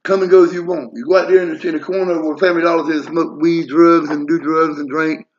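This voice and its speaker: A man's voice: on the high side, fairly even intonation, fast. A man in his forties talking bluntly and profanely in a rambling personal monologue, drifting into asides. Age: 40s. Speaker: vlogger. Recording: lo-fi muffled audio.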